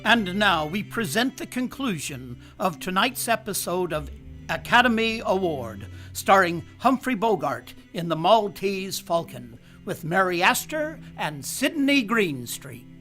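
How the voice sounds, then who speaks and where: A man's voice: sits high, big pitch swings, a little slow. A man announcing a radio drama in the style of a 1940s broadcast presenter. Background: music.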